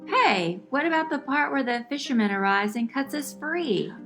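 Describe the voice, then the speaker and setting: A woman's voice: high-pitched, lively intonation, moderate pace, fairly bright tone, faint music behind. A woman voicing a naive, foolish little mermaid girl in a puppet show, with a singsong manner. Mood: indignant.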